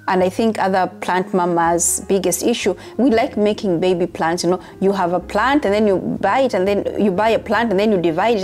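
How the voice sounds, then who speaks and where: A young woman from Uganda chats casually to her viewers in a medium-pitched voice. She is talkative and repeats phrases for emphasis, like a friend catching up. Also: darkish tone. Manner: chatty, repeats herself for emphasis. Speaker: vlogger. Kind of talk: casual chat to camera.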